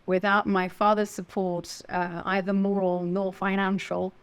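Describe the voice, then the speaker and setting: An Italian-born actress who speaks English with a British accent, telling stories with small laughs as a podcast guest. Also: female voice, medium pitch, measured pace, smooth. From Italy. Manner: animated storytelling with small laughs. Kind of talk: podcast interview.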